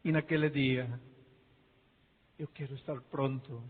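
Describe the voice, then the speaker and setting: Impassioned Peruvian-accented male pastor, middle-aged and husky, in a church hall with a tinny, echoing sound. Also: medium pitch, little pitch movement, measured pace. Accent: Peruvian Spanish. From Peru. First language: Spanish. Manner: impassioned preaching, swinging from soft to near shouting. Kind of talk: sermon.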